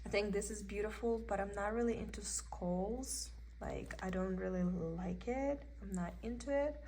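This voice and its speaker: A young woman with a Russian accent chats her way through a craft haul, meandering and thinking aloud as she goes. Her voice sits at an ordinary middle pitch, and a faint hiss runs beneath it. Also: normal speed, warm tone. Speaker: cross-stitch vlogger. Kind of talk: casual show-and-tell haul. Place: indoors at a table.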